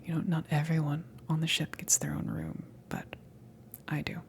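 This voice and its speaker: A woman voicing a friendly, flustered alien ship crewmember in a soft ASMR roleplay, speaking in a hesitant, breathy half-whisper. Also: sits low, level tone. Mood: shy, welcoming. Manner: hesitant, awkward, giggly, halting.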